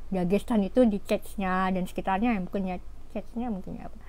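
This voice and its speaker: A woman's voice: medium pitch, steady tone, a little fast, darkish tone, poor audio. A woman giving an informal history talk, explaining in a conversational way with rhetorical asides. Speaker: history storyteller. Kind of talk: informal spoken history lecture.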